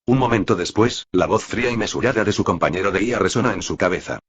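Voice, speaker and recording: Male narrator for prose fiction: deep register, husky edge, slightly muffled lo-fi sound. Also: quick pace. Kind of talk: scripted audiobook narration of fan fiction.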